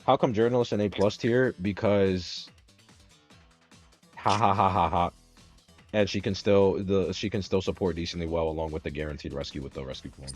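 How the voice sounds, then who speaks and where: A man hosting a casual game stream, talking fast and heated as he defends his picks. He swears freely and presses his point. The sound is a little dull, with faint music underneath. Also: low voice. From Dominican Republic. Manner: animated, profane, argumentative ranting. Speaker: streamer host. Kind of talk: casual gaming stream chat.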